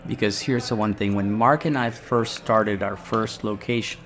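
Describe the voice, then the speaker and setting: A man's voice: fairly low pitch, steady tone, moderate pace, a bit bright. A middle-aged man from Guatemala, a restaurant owner, chatting casually in an interview and rambling a little with fillers and restarts.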